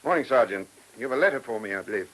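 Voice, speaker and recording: A chief inspector in a radio drama. His voice is husky and lively, moving freely in pitch, with a dry, testy, sardonic edge as he works a murder case. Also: racing, rough recording. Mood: thoughtful, businesslike. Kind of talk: radio play acting.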